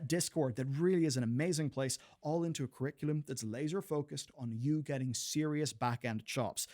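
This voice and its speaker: A man's voice: fairly high pitch, a little mellow. A man hosting a gaming video, reading scripted narration in a conversational, slangy way with casual asides. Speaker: host of a gaming lore video channel. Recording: music playing behind the voice. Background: music.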